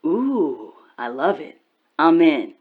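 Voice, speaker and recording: An actress playing a breezy, excitable woman friend chatting eagerly through a phone filter in an audio drama. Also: sits low, slow, phone-quality sound, heavily acted.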